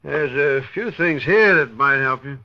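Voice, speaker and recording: A man's voice: medium pitch, expressive, unhurried. An American man playing a businesslike, wry police medical examiner in a 1950s radio crime drama. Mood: matter-of-fact. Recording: dull, noisy vintage radio recording.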